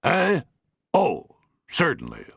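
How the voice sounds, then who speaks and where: A man voicing a bluff, blustering older patriarch who speaks in clipped, gruff bursts. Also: a little high, huge pitch swings, slow pace, fairly bright tone, no room sound, studio quality, voice pushed up, exaggerated voice.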